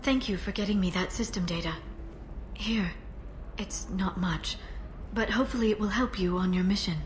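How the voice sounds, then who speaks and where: An actress playing a composed, determined female information broker in a sci-fi video game, measured and earnest. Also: medium pitch, natural voice. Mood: earnest, guarded. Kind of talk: scripted video game dialogue. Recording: noisy ambient background.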